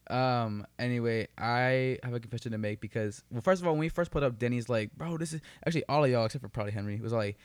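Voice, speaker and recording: A man's voice: medium pitch, fast, hardly any pauses, clear, resonant tone, no echo. A young man co-hosting a podcast, telling stories in casual, profane, joking slang. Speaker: podcast co-host. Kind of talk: casual banter and storytelling.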